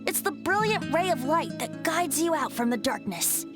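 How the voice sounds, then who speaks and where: A woman's voice: high voice, very animated, normal speed, music in the background. A woman voicing a playful, lyrical young male bard in a video game dub, speaking in a sing-song, poetic way. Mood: musing. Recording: game cutscene with loud soundtrack.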